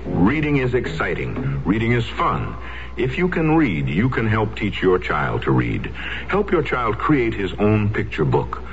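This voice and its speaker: Earnest male PSA announcer. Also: lowish voice. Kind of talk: public service announcement. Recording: dull lo-fi radio broadcast.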